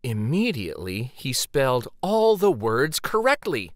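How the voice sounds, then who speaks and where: A man reading a children's story aloud dramatically, with theatrical, suspenseful pauses. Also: medium pitch, wildly swooping pitch, dry recording, studio quality.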